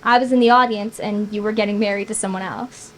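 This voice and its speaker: A woman's voice: medium pitch, fairly even intonation, quick pace, close to an everyday voice, rough recording. A woman reading the part of a wistful, teasing young woman at a screenplay table read. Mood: wistful, confiding. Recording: noticeable background noise.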